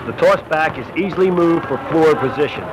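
A man's voice: on the high side, lively intonation, fairly quick. A man reading scripted narration for an instructional basketball film, as a shooting instructor. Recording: noisy old Super 8 film soundtrack.

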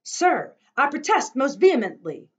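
A woman reading aloud, voicing a stern old grandfather who protests loudly and gives orders. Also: fairly high pitch, lively intonation, moderate pace, voice pushed up, natural voice. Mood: indignant. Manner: loud, protesting, commanding.

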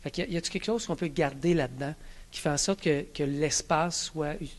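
A man's voice: fairly high pitch, a little fast. A man in his forties with a Québécois accent, giving a thoughtful, hesitant vox pop answer. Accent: Québécois French. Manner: thoughtful, searching for words. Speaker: local resident. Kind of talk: vox pop street interview. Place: indoors, church hall before a drawn mural. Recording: music bed underneath.

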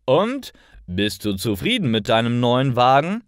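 Man acting as helpful male friend, assured, expressive intonation, careful diction, storybook dialogue. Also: mid-range voice, a little slow, smooth, dry recording, clean studio sound. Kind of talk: acted dialogue in a learner story.

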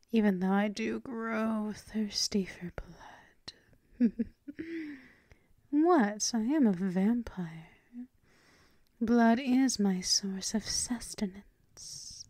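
A woman playing a seductive, dominant female vampire mistress in an ASMR roleplay, mostly in a breathy, purring whisper, teasing and possessive. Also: mid-range voice, a little mellow, no echo, studio quality. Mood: playfully menacing. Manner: slow, purring, lingering with hums.

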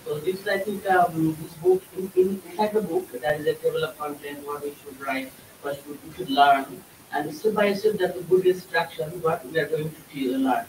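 Halting Bangladeshi man in an echoey room. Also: a little high, very animated, darkish tone. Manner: halting, repeats and rephrases. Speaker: course participant. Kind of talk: impromptu spoken feedback. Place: room with other participants. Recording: echoey room, far mic, faint hiss.

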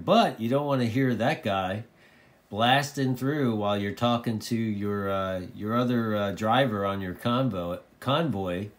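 A man informally explaining and demonstrating a product, repeating phrases for emphasis. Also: on the low side. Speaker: presenter of a radio tutorial. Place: indoors at a desk.

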